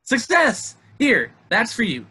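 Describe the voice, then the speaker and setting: A man playing a boyish, cheeky young husband in a stage play table read, breezy and excitable, with a husky voice. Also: very high-pitched, slow pace, exaggerated voice. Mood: cheerful. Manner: breezy, exclamatory.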